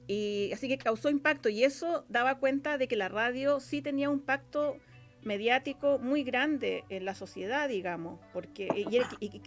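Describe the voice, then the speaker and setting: Slightly high-voiced Chilean woman, interview guest. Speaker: guest, radio drama scriptwriter. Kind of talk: casual explanatory interview talk.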